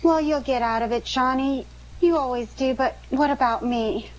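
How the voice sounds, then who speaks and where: Woman playing an anxious high-voiced radio damsel. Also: moderate pace, natural voice, rough recording. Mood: uneasy. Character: anxious, pleading young female accomplice in trouble. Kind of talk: 1940s radio drama acting.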